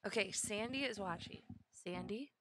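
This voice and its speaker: A woman's voice: medium pitch, normal speed, noisy background. A woman doing live bowling commentary on a headset, chatty and giggly, often drifting off topic. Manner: chatty, giggly, easily sidetracked. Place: commentary booth at a bowling center.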